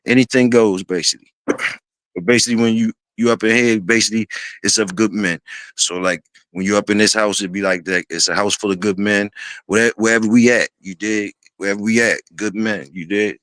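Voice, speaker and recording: A man in his fifties hosting a casual talk, speaking in a laid-back, rambling way with many fillers and restarts, often clearing his throat. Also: lowish voice, steady tone, brisk, a bit bright, dry recording. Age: 50s. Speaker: host.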